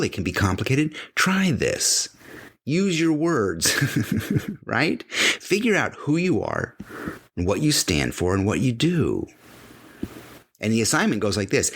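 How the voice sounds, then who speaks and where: Husky, emphatic man with a lively voice. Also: medium pitch. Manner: emphatic, repeats phrases, voices imagined speakers. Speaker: podcast host. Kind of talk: motivational monologue.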